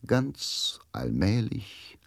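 A man's voice: on the low side, sing-song, extremely slow, gravelly, heavily acted. A man narrating a crime story in a radio drama, with sober, scripted literary delivery. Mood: sober, suspenseful. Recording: music behind the voice.

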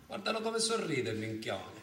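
A man's voice: mid-range voice, sing-song, moderate pace, fairly bright tone, roomy sound, slight background noise. An actor playing a middle-aged police commissioner and worried father in a radio drama, bitter and emotional. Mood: contemptuous. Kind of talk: theatrical radio drama monologue and dialogue.

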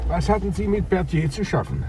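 An actor playing a curt, probing police commissioner in a 1950s German radio play. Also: male voice, high-pitched, big pitch swings, brisk. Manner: terse, probing questions. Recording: old, faintly noisy 1950s radio recording.

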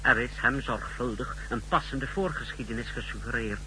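A man's voice: medium pitch, moderate pace, pushed and bright, a little hiss or hum, poor audio. A man playing a keen, self-satisfied intelligence aide in a 1960s radio drama, with precise, formal speech. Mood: confident. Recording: humming old 1960s radio recording.

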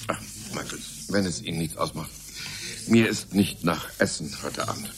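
An actor in a German radio drama playing an ageing, courteous scientist, musing in a weary, resigned and hesitant way. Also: male voice, very deep voice, moderate pace, noisy background. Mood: resigned, polite. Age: older.

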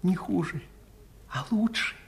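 Low-pitched, bashful young gardener lad. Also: female voice, expressive, a little slow, gravelly. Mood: shy. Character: humble shy young male gardener, tender, self-mocking. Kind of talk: theatrical fairy-tale radio play acting.

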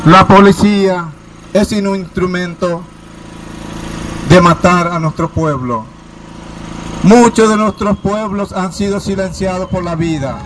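Impassioned high-voiced man giving a protest speech. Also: background noise. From Formosa province, Argentina. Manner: impassioned, rhetorical questions, raised voice.

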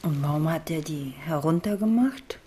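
An actress playing a sceptical, sharp-minded partner of a detective in radio play dialogue. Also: female voice, deep voice, expressive, normal speed, slight background noise. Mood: sceptical, probing.

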